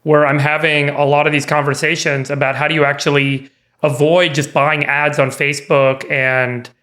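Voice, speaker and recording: A man's voice: on the high side, flat delivery, moderate pace, pushed and bright. Earnest, upbeat male podcast co-host. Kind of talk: casual banter.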